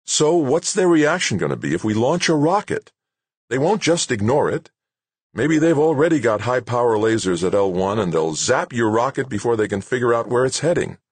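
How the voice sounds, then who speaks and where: A man plays a woman in charge of a base in a novel. She is brisk, good-natured and firm, speaking in a lifted, lively voice with a dry, close sound. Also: medium pitch, breathy edge, no echo, pitched above the performer's own voice. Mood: patient, earnest. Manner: plain-spoken, patient but firm. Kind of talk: acted audiobook dialogue.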